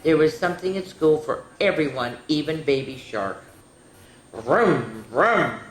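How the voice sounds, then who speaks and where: A woman reading picture books aloud to children, stopping for chatty asides to her young listeners. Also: a little slow. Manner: animated, switches into higher voices for characters. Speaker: children's storyteller. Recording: echoey room with faint ambient noise.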